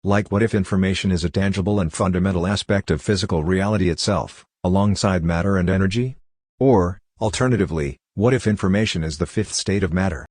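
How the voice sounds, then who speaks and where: Deep-voiced man reading aloud, very dry sound. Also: fast talker, darkish tone, dry recording. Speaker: reader of exam practice texts. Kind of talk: scripted read-aloud of a short popular science passage.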